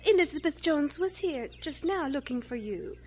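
High-voiced, homely English missionary woman. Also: animated, moderate pace, darkish tone, slight background noise. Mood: brisk, friendly. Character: brisk, motherly English missionary schoolmistress who loves tea. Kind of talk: audio drama acting.

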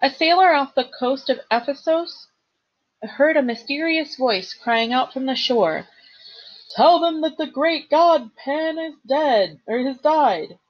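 A woman's voice: high voice, animated, takes it slowly, muffled recording, voice pushed up. A woman voicing a plaintive young satyr. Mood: earnest. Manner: earnest, plaintive, emphatic. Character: earnest young male satyr, gentle and worried.

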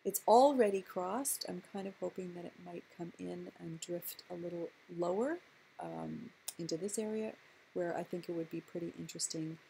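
Mellow-voiced woman explaining stock charts. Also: lowish voice, big pitch swings, vocal fry, slight background noise, low-quality recording. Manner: measured, explanatory, with frequent ums and uhs. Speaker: stock chart analyst host. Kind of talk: screen-recorded chart walkthrough. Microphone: voice-over on screen recording.

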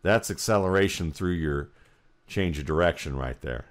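A man's voice: rumbling low, moderate pace. An American man giving football film breakdown commentary, explaining each detail as he thinks aloud.

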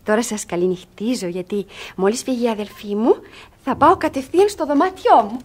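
An actress playing a pleading, scheming young ward in a classic comedy for radio theatre, speaking dramatically. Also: female voice, a little high, animated. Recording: husky-sounding archive radio theatre recording.

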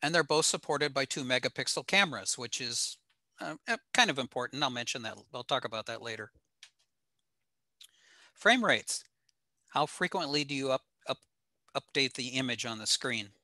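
An older American man giving an informal slide lecture in an explanatory, halting way with frequent pauses. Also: medium pitch, animated, bright, forceful tone, no room sound. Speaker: presenter, ham radio operator. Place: small home room indoors.

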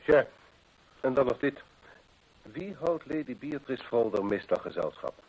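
An actor playing a shrewd, courteous gentleman detective in a radio play, formal, measured and probing. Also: medium pitch, very animated, husky, exaggerated voice, poor audio. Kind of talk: Dutch radio play acting.

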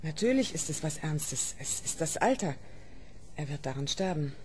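A woman's voice: low-pitched, lively intonation, moderate pace, darkish tone, slight background noise. An actress playing an older female doctor who speaks bluntly and dryly. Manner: blunt, dry.

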